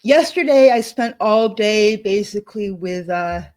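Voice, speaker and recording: Casual co-host, a woman at her computer mic, chatty, mid pitch, narrating her clicks. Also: lively intonation, measured pace, a little mellow. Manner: chatty, thinking aloud, frequent laughs. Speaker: co-host demonstrating software. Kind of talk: casual screen-share chat.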